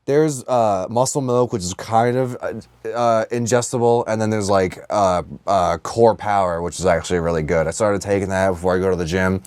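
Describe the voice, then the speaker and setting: A man's voice: fairly low pitch, moderate pace, darkish tone, smooth, no echo. A man co-hosting a podcast in casual comedic chat, rambling with heavy slang and swearing into a close microphone. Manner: heavily profane, slangy, rambling. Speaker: podcast co-host.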